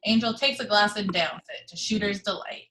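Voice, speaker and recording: A woman reads stage directions at a table read in a mid-pitched voice. Her delivery is even and measured. She is heard over a video call. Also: slight background noise. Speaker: narrator reading stage directions. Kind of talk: reading action description.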